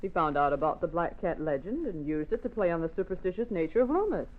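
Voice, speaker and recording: An actress playing a sensible, teasing young secretary in an old-time radio drama, brisk and patient. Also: female voice, fairly low pitch, expressive, close to an everyday voice. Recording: tinny band-limited old radio recording.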